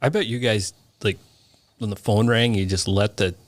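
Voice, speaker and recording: Slightly low-voiced male podcast co-host. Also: fairly even intonation, moderate pace, no room sound. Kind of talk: casual chat.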